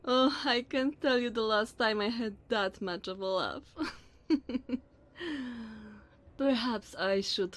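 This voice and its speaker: Woman doing a tall vampire noblewoman for asmr roleplay, really slow and drawn out, haughty, bossy, mocking, talks all formal. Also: medium pitch. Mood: mocking amusement. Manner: slow, condescending, theatrical formal diction.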